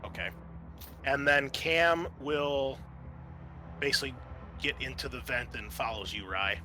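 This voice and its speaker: A man's voice: medium pitch, fairly even intonation, unhurried, pushed and bright, a little hiss or hum. A man narrating a tabletop roleplaying game as its game master, addressing the players.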